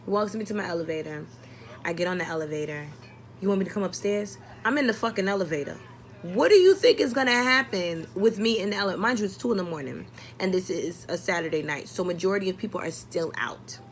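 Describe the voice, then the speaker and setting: A woman's voice: fairly low pitch, lively intonation, quick pace, a little hiss or hum. A young adult woman telling a personal story casually, exasperated and blunt, acting out dialogue and swearing often.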